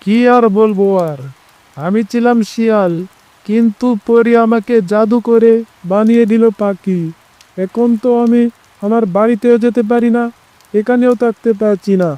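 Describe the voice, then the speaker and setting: A man's voice: very high-pitched, steady tone, normal speed, mellow, no room sound. A man voicing a hapless young cartoon fox turned into a bird, speaking in a plaintive, pleading way. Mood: despairing. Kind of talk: Bengali children's cartoon dubbing.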